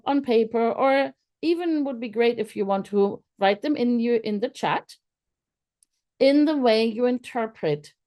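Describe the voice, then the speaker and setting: A German-born woman, a teacher trainer, giving an online lecture in an unhurried, thoughtful way with many pauses and fillers. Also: mid-range voice, a little mellow. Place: video call.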